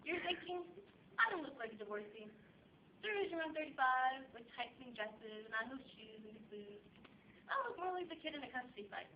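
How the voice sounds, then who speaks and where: A woman's voice: animated, brisk, reverberant. A woman performing a comic stage monologue as a chatty, flighty, very young divorcee with dramatic, gushing delivery. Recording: dull, noisy amateur recording with low rumble.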